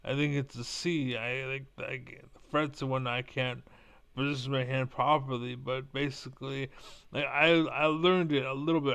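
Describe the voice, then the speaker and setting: A man's voice: medium pitch, vocal fry, a bit bright, smooth. A young man with Spanish as his first language, rambling bluntly in a casual monologue and swearing now and then. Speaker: vlogger.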